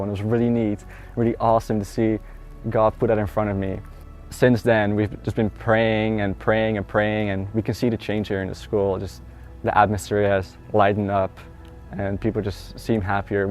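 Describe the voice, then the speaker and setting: A man's voice: lowish voice, a little mellow. A teenage boy from the Netherlands with a Dutch accent, earnestly telling his personal faith story in a conversational way. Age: teens. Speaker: student. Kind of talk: personal testimony.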